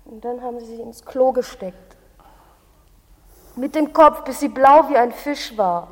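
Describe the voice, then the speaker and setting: A woman's voice: fairly high pitch, unhurried, close to an everyday voice. An actress playing a traumatised young girl deportee in a radio play, insisting that she is a woman now. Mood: shaken recounting. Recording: reverberant hall, noisy background.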